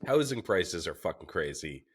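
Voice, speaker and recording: Man, podcast host, very low voice, animated intonation, joking casual questions. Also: normal speed, slightly rough. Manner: joking, curious, swears freely. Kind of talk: casual chat.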